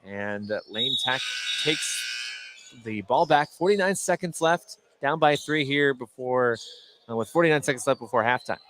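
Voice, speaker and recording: An American man calling live high school basketball play-by-play for radio. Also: unhurried. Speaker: play-by-play sports announcer. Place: courtside in a school gym. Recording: noisy gym broadcast, bouncing balls behind. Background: gym ambience, basketball bounces.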